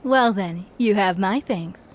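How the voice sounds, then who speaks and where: A woman's voice: mid-range voice, slow pace, a little hiss or hum, close to an everyday voice. A woman voicing a cheerful, gossipy young tavern keeper in a video game. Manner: friendly, gossipy. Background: game music.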